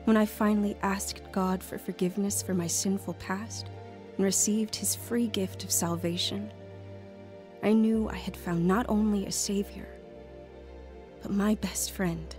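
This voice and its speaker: A woman's voice: mid-range voice, steady tone, measured pace, a little mellow, close to an everyday voice. Earnest woman, an actress playing her, music behind. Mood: reflective, earnest. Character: lonely, hesitant woman finding faith, telling her story. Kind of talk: audio drama dialogue and first-person narration.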